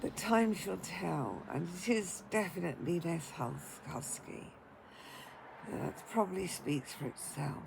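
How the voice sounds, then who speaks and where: An older woman speaks in a tired, croaky, husky voice with a gravelly edge. She talks very slowly and haltingly, pausing to take deep breaths. A steady hiss of noise sits under her casual home vlog. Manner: slow and hesitant, taking deep breaths before speaking. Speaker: vlogger.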